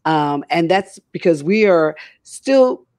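A woman's voice: lowish voice, animated, slow pace, a little mellow, dry recording, studio quality. An older woman hosting a casual panel chat on a video call from home, speaking emphatically and repeating phrases for stress. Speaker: talk show host.

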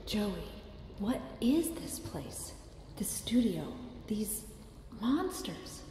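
A woman's voice: mid-range voice, sing-song, drawn-out words, warm tone, a little hiss or hum. A woman voicing a wary, bewildered young heroine in a video game cutscene, asking hesitant questions. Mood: uneasy. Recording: roomy reverberant game audio with ambience.

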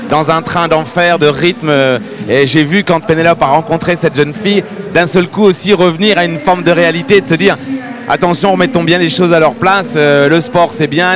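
Man, fairly high voice, animated, handheld mic, music behind. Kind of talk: talk show chat. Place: large indoor arena at a horse show.

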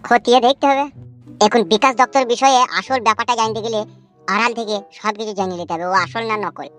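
A woman doing a sharp, high-pitched agitated cartoon village lad. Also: fast talker, faint music behind, lightly acted. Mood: suspicious. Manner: coarse, slangy, agitated. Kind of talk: Bangla comedy cartoon dialogue.

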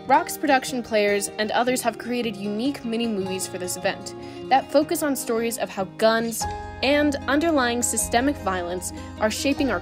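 An earnest woman reads a scripted event promo. Her voice sits fairly high, with music clearly playing behind her. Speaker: event announcer.

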